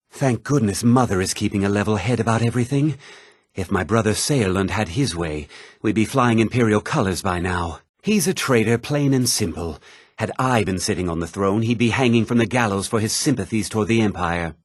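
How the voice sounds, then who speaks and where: Zealous noble heir, low voice, haughty, stern, lively intonation, fantasy game character. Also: male voice, normal speed, husky, dry recording. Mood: indignant. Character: haughty, indignant young nobleman, jarl's zealous son. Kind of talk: video game character lines.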